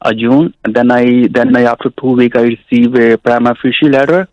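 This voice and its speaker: A man calls in and speaks in a flat, even tone. He is deferential and halting, and the line sounds dull and dry. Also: mid-range voice, no echo. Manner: deferential, keeps saying sir, halting. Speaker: caller.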